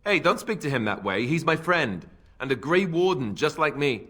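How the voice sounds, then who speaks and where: A man's voice: on the high side, very animated, moderate pace, fairly bright tone. A man playing an awkward, earnest young warrior in a video game, hesitant, self-deprecating and prone to babbling. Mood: protective.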